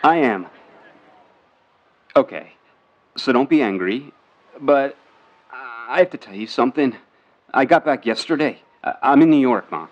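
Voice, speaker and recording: An actor playing an earnest, boyish young sailor son in a period radio drama, speaking in a halting way. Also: male voice, medium pitch, expressive, lo-fi sound, lightly acted. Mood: hesitant, earnest. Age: young adult.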